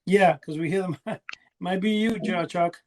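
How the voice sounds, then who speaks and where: A man's voice: high voice, unhurried, darkish tone. A man hosting a casual podcast chat, telling rambling, enthusiastic stories. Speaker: podcast host.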